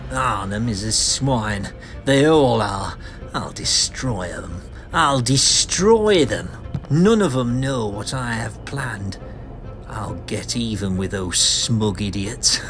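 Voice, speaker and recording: A crazed, vengeful failed painter in a comic-book audio drama. His theatrical menace comes slowly, with big swings in pitch, over prominent music. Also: lively intonation, a bit bright. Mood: bitter rage. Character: deranged, vengeful failed painter, murderous man. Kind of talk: melodramatic audio comic acting.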